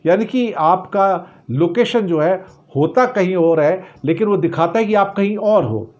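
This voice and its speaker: A man presents news commentary in a somewhat high-pitched voice. He explains things directly to the viewer with emphasis. He leans on rhetorical questions and restates key phrases. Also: animated, unhurried, a little mellow, muffled recording.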